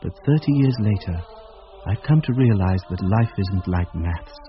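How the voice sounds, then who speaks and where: An actor playing a brooding middle-aged man who narrates a memory in a husky, reflective, measured voice for radio drama. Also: low-pitched, animated, highs cut off, soft background music. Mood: reflective, troubled.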